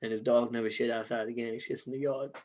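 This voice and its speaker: A man co-hosting a podcast in casual chat, hoarse from losing his voice, joking loosely and swearing freely. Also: mid-range voice, little pitch movement, fast talker, darkish tone. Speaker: podcast co-host.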